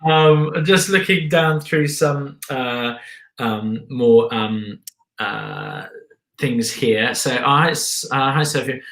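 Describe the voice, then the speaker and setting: A man's voice: mid-range voice, slow pace, vocal fry, a bit bright. Upbeat man in an echoey room. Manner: upbeat, affirming interjections. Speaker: host reading audience questions. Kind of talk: casual Q&A hosting.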